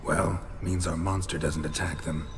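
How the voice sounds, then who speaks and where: A man with an American accent acting a stoic, world-weary monster hunter in a video game, with a gravelly, terse, deadpan delivery. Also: deep voice, moderate pace, background noise, natural voice. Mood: brooding, matter-of-fact. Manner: terse, deadpan, clipped sentences. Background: music.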